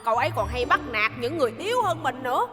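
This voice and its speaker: High, brash young boy racer. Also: sing-song, very fast, sharp tone, faint music behind, full character voice. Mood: angry, defiant. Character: cocky, hot-tempered young boy racer. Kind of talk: Vietnamese cartoon dub.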